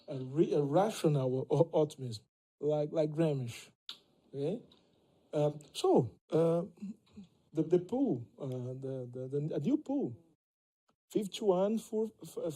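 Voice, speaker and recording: A middle-aged man with a Brazilian Portuguese accent, speaking as a panelist at a debate in a lecture hall. He talks haltingly, repeats words and keeps checking that listeners understand. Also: on the high side, warm tone, husky. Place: lecture hall stage, seated at a table. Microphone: table microphone.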